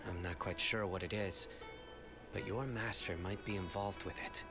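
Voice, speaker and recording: A stern, guarded investigator who speaks tersely and deliberately. His voice sits lowish. Game music plays clearly behind him. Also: fairly even intonation.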